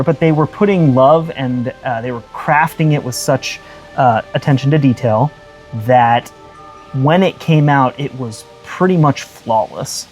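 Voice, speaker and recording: A man with a mid-pitched voice talks as co-host of a game review. His delivery is earnest and emphatic, circling back to phrases for weight, with faint music behind him. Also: darkish tone. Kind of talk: conversational review.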